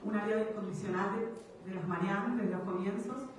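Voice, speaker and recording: Young woman talking at an event, lists stuff off quick, mid voice, lots of hall echo, mic kinda distant. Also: medium pitch, steady tone, slight background noise. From Argentina. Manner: quick lists, with light asides. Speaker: event speaker. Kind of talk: semi-formal speech. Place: large gilded reception hall, indoors. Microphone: handheld microphone at a podium. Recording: echoey hall, recorded from afar.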